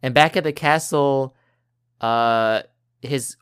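A woman speaks in an extremely low, smooth and clear voice. She is a podcast co-host, spinning a story in relaxed, everyday conversational phrasing. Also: slow pace. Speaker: podcast co-host and quiz contestant. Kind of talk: casual storytelling chat.

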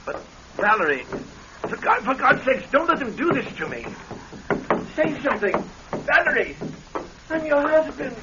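An actor playing a scheming, greedy husband with a suave, mocking manner and a menacing edge, in classic radio drama style. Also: male voice, extremely high pitch, sing-song, measured pace, pauses often, heavily acted. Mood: pleading. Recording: muffled old radio broadcast with faint hiss.

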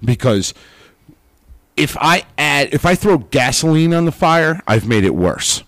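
A man co-hosting a casual podcast, talking bluntly and excitably, swearing freely. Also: on the high side, unhurried, no room sound, studio quality. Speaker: podcast co-host.

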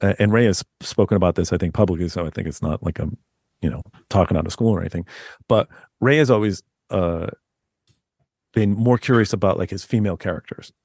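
A man with a deep voice, a guest author talking in a loose, rambling way with many restarts. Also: fairly quick, darkish tone, breathy edge, dry recording, clean studio sound. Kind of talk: casual interview chat.

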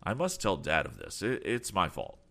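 A man's voice: low-pitched, huge pitch swings, moderate pace, gravelly, pushed and bright, heavily acted. A man voicing a frank, determined young inventor in a storybook, explaining things earnestly. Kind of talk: audiobook character voice.

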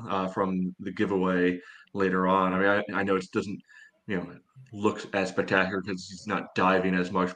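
A man on a sports podcast giving casual, matter-of-fact analysis and readily pushing back on points. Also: sits low.

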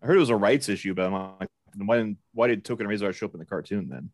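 A man's voice: fairly low pitch, very fast, dry recording. A man in his thirties, co-hosting a casual podcast and chatting while acting out his own reactions. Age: 30s. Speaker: podcast co-host. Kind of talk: casual chat.

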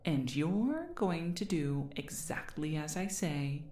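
Female performer as demon queen for ASMR roleplay: slow, mellow, imperious, teasingly menacing. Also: sits low, expressive, reverberant, a little hiss or hum. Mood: contemptuous. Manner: imperious, condescending, theatrical, drawn-out.

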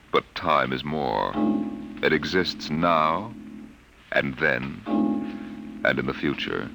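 A man's voice: a bass voice, gravelly. A man narrating a 1950s radio drama in a solemn, theatrical way. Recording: vintage radio recording with loud music.

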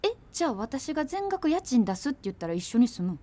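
A woman's voice: fairly high pitch, big pitch swings, quick pace, dark tone. An actress playing a practical, exasperated girlfriend with a Kansai accent in naturalistic radio drama dialogue, blunt and challenging. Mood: teasing, exasperated.

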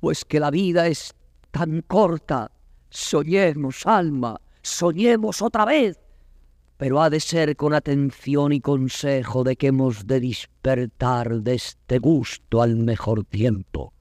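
An actor playing a proud, wary captive prince in classical verse drama, declaiming with weight and emphasis. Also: male voice, mid-range voice, takes it slowly, darkish tone, no echo, clean studio sound, lightly acted. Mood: resolute musing.

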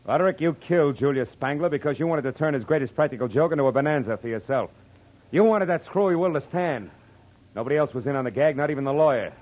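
An actor playing a tough, wry private detective in an old radio drama, with a terse, wisecracking delivery. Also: male voice, fairly high pitch, expressive, fast, darkish tone. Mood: commanding. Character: hard-boiled, wry male private detective. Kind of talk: 1940s radio drama acting and narration. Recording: noisy old radio recording.

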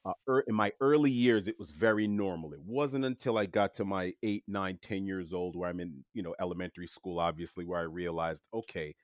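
Deep-voiced New man, dry sound. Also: dry recording. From Queens, New York City. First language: French. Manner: relaxed, halting, with many fillers and restarts. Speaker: interview guest. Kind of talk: casual storytelling.

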